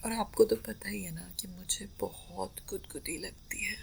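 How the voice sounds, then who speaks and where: A woman playing a shy, playful, affectionate wife in a romantic roleplay ASMR, speaking in a coy, breathy, drawn-out whisper. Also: medium pitch, slight background noise. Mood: teasing, affectionate.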